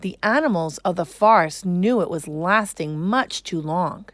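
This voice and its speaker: A woman reading a children's story aloud as an expressive narrator. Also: on the low side, unhurried.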